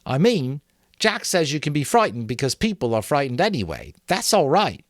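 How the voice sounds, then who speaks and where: A man doing a chatty excitable young boy. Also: a little high, moderate pace, dry recording, clean studio sound, voice pushed up. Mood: eager. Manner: rambling, hesitant, self-correcting.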